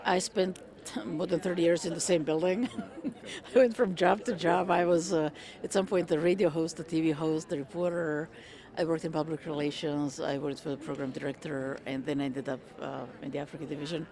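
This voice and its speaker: A woman in her fifties, originally from Croatia, answering interview questions in a measured way with frequent ums and uhs. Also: sits low, fairly even intonation, dark tone. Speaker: interviewee, retired federal worker. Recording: crowd chatter behind. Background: crowd chatter.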